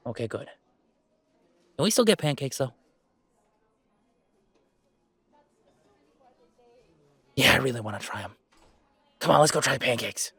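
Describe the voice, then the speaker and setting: Male actor as young werewolf gamer, audio drama, earnest and playful, animated intonation, conversational, hesitant and emphatic, dry recording. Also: mid-range voice, creaky, breathy edge, no room sound, full character voice. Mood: playful, coaxing. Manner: halting, emphatic, expressive, with sighs and chuckles. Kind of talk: intimate roleplay audio acting, conversational.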